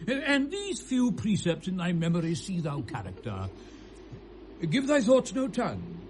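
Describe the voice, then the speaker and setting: A man's voice: a little high, darkish tone. An older British actor playing an elderly, pompous, fussy courtier and father full of maxims in a classic Shakespearean radio drama. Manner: pompous, sententious. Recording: hissy vintage radio drama recording.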